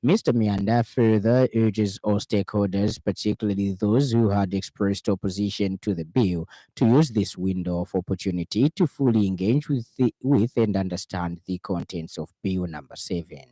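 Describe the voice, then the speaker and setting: A man with a Zambian accent reading a radio news bulletin in a formal, measured way from a studio. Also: fairly low pitch, warm tone, no echo.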